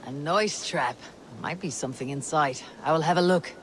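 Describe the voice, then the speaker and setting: A woman playing a cool, confident Viking warrior in a game cutscene, measured and wry. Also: low-pitched, slight background noise, close to an everyday voice. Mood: self-assured. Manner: measured, wry, self-assured. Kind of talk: dramatic video game cutscene acting. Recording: loud music behind the voice.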